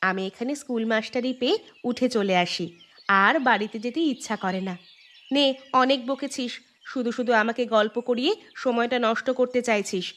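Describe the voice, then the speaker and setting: High-voiced cartoon schoolteacher, played by a woman. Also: fairly quick, lightly acted. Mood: concerned, chiding. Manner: questioning, gently chiding. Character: village schoolteacher woman, caring but mildly strict tutor. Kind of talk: Bengali cartoon dubbing.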